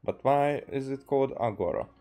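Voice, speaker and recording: A man's voice: mid-range voice, animated, a little fast, warm tone. A man from Hungary doing casual live game commentary, hesitantly thinking aloud as he plays. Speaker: game streamer. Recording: game music behind the voice.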